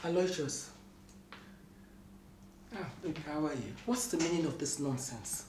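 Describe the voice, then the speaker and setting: A woman's voice: sits low, unhurried, noisy background. A woman with a Nigerian accent playing an indignant, jealous wife in a comedy film, confronting with repeated rhetorical questions. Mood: cold indignation. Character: indignant, jealous wife scolding her cheating husband. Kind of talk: Nollywood comedy film acting.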